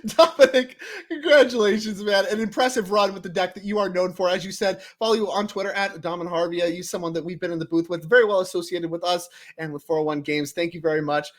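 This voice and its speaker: A man's voice: high voice, steady tone, fairly bright tone. A man hosting a card tournament stream, interviewing the winner in an upbeat, congratulatory way. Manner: upbeat, congratulatory, quick to laugh. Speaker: event host and interviewer.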